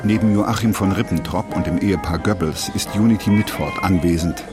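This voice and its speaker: A man narrating a scripted historical documentary drama with a husky voice. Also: low-pitched, fairly quick, music in the background.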